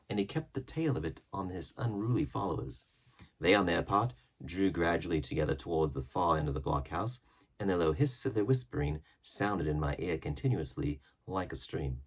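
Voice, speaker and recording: A man reading an adventure story aloud as expressive scripted narration. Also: deep voice, breathy edge. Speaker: audiobook narrator.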